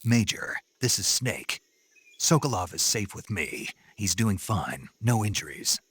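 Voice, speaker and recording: An actor playing a gruff, stoic special forces operative in a spy video game, with a gravelly voice and terse military delivery. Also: male voice, very animated, a little slow, a bit bright, slight background noise. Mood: terse, controlled. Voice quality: gravelly, husky. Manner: terse, low-key military reporting. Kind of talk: dramatic video game voice acting.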